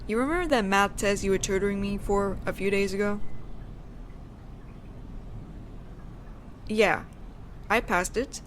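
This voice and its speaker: A woman playing a teenage delinquent girl in an intimate audio roleplay, casual and teasing with a softly caring side and thoughtful pauses. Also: mid-range voice, slight background noise, natural voice. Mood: sincere, hesitant. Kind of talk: slow intimate audio roleplay addressed to the listener.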